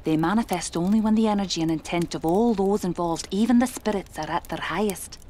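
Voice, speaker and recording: A woman's voice: mid-range voice, warm tone, slight background noise, lightly acted. Solemn 1920s spirit medium, played by a woman. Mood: solemn, instructive. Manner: theatrical, deliberate, formal diction. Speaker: voice actor in audio drama. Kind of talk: dramatic horror podcast acting.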